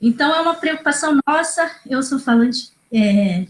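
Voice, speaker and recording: A woman's voice: high-pitched, lively intonation, normal speed. A woman in her thirties from Brazil, a native Kaingang speaker, talking as a guest educator on a video call, hesitant with many fillers and tag questions. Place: small indoor room. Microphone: laptop video call. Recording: roomy video call audio.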